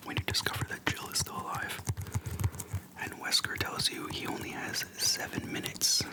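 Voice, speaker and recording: Asmr host whispering softly right up on the mic, relaxed casual rambling, halting. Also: poor audio. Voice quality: soft breathy whisper. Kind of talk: casual rambling game retrospective. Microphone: close microphone.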